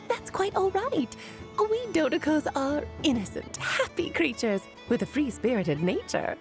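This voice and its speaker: A woman's voice: sits high, exaggerated voice. A woman voicing a mischievous, free-spirited little fluffy creature in a video game, with theatrical, exclamatory delivery. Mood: coaxing, cheerful. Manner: theatrical, exclamatory storytelling with giggles and drawn-out words.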